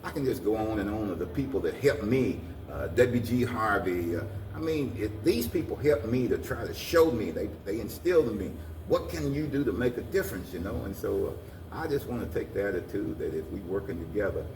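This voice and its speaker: A man's voice: fairly low pitch, lively intonation, brisk, a little mellow. A man giving earnest, rambling interview answers, often repeating his phrases. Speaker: guest, community organization president. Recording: echoey, distant mic, noisy room.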